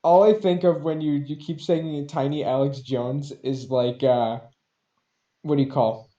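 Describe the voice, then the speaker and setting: Mellow man bantering in an echoey room. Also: on the high side, fairly even intonation, a little slow, lo-fi sound. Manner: jokey, acts out bits. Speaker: podcast co-host. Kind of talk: casual comedic banter. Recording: roomy, echoing sound.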